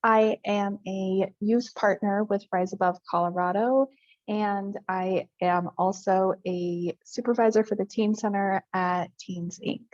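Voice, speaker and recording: A young woman in her twenties co-hosts an online workshop and talks slowly and deliberately, in a welcoming way. The call audio is a little dull and dry. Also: mid-range voice, steady tone, a little mellow, no room sound. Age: 20s. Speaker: workshop co-presenter.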